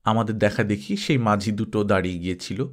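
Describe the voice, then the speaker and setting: A man's voice: on the low side, brisk. Man narrating a story expressively.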